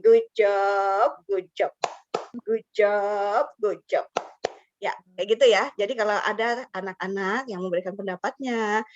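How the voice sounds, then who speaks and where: A woman's voice: mid-range voice, slight background noise. A woman presenting an online teacher-training webinar, chatty, slipping in English words and laughing often. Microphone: video call. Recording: slightly dull lo-fi call audio.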